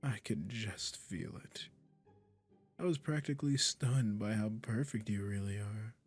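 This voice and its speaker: A low, gravelly male witch acted by a man, possessive and obsessed, speaks in an unhurried, deliberate drawl. He coaxes with condescending sweetness and quiet menace, in an intimate roleplay audio drama with music behind him. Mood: smitten, smug. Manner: soft coaxing, condescending endearments, controlling, deliberate.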